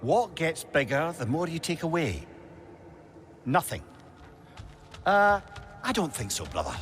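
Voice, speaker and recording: A man's voice: a little high, a little slow, slight background noise. A man playing a chatty, witty, easily irked companion in a video game, with a British accent. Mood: frustrated, puzzled. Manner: talkative, animated. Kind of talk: video game cutscene acting.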